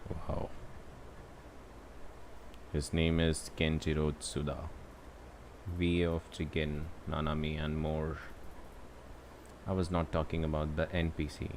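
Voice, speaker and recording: A man's voice: very deep voice, flat delivery, takes it slowly, long pauses, a little mellow, a little hiss or hum. A man giving casual livestream commentary on a video game. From India. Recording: game music behind the voice. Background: game music.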